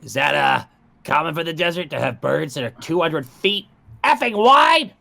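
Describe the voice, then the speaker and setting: A man's voice: a little high, lively intonation, normal speed, creaky, sharp tone. A man playing a tabletop role-playing game, bantering casually and bluntly as he speaks for his character.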